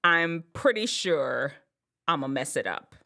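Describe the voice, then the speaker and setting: Lively chatty woman from Jamaica. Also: deep voice, slow pace, creaky. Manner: chatty, storytelling, big swoops up when excited, laughs at herself. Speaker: vlogger. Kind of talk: casual chat to camera.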